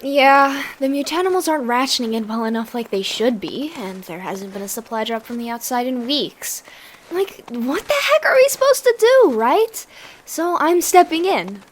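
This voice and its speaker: Woman voicing upbeat, high-voiced young mutant lizard girl. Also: normal speed, a little hiss or hum. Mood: earnest. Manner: excitable, chatty. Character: upbeat young female mutant lizard, former student, chatty idealist. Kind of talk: comic dub voice acting.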